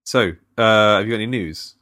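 A man hosts a chatty podcast with a low, easygoing voice. He talks in a loose, hesitant way, pausing with fillers and chuckling now and then. The sound is close and dry. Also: sharp tone, no room sound. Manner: laid-back, hesitant, chuckling. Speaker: podcast host. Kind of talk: casual chat.

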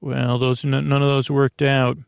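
Creaky-voiced man, rambling bedtime podcast host. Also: mid-range voice, little pitch movement, dry recording. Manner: meandering and halting, trailing off and restating himself; gently reassuring. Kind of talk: rambling, improvised bedtime monologue.

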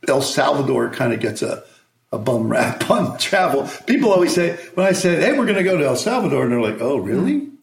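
A man hosting a podcast, jumping in eagerly and talking in an animated way. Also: medium pitch, fairly quick, creaky. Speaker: podcast host. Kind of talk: casual conversation. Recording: echoey room.

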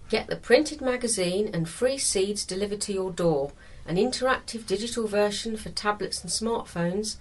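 A woman's voice: medium pitch, rough recording. A woman reading a scripted promotional voice-over for a subscription offer. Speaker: advert voice-over. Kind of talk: scripted advertisement read.

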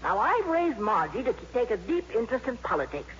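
Feisty old grandmother, very animated. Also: a little high, brisk. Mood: proud, indignant. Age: older. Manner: emphatic, declamatory, no-nonsense. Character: feisty, proud old grandmother, staunch former suffragette. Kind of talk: 1950s radio comedy acting. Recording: dull, noisy vintage radio broadcast.

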